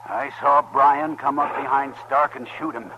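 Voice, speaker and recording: A man's voice: mid-range voice, fairly even intonation, normal speed, muffled recording, natural voice. An actor playing a grieving frontier colonel, a protective father, in an old-time radio western. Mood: resigned, urgent. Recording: noisy old radio recording.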